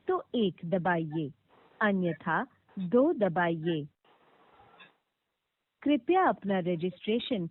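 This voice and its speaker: A woman reading scripted automated phone prompts with formal, clearly enunciated instructions, heard over a narrow phone line. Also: medium pitch. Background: played back from a recorded call.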